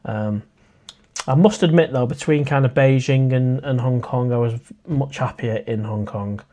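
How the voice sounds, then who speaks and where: A man's voice: medium pitch, normal speed, dark tone. Chatty man hosting a livestream. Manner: chatty and friendly, addresses viewers as mate. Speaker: livestream host. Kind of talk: casual live chat reading viewer comments.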